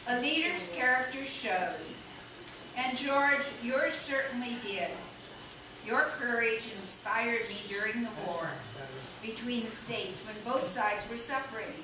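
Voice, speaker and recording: A woman's voice: fairly high pitch, animated, a little slow. Woman as solemn male president, echoey, distant. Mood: admiring. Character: solemn, reflective Abraham Lincoln in heaven, adult male president. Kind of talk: readers' theater stage reading. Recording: echoey room, distant mic, noisy.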